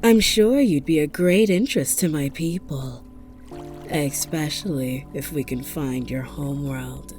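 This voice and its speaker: Woman playing a slow, low-voiced menacing empress. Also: over music. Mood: scheming, menacing. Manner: haughty, measured, taunting. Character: menacing, imperious empress commanding a war fleet. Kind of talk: ASMR roleplay voice acting.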